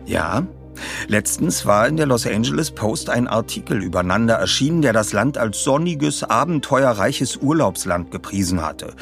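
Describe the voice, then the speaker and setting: A man's voice: medium pitch, lively intonation, moderate pace, vocal fry, a bit bright, soft background music. A middle-aged man, narrating a scripted story dramatically. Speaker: host and audiobook narrator.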